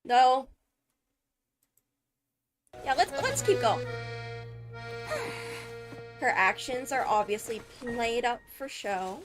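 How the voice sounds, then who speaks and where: A woman streamer giving casual, emphatic analysis of a game video, halting as she thinks through points. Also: on the high side, lively intonation, music in the background. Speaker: streamer, host.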